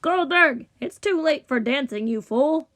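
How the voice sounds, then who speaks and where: A woman's voice: on the high side, moderate pace, lightly acted. A woman voicing a bossy, picky, impatient rich man from a storybook, exclaiming and complaining. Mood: angry demanding. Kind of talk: storybook character voice.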